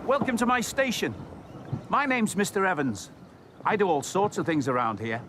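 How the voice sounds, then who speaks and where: A man presenting and reading stories for young children, speaking directly to the listener in an animated way. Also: sits high, normal speed, noisy background. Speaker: children's storytime host, station worker character. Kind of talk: children's storytelling, scripted presenting to young viewers. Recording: music behind the voice. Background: background music.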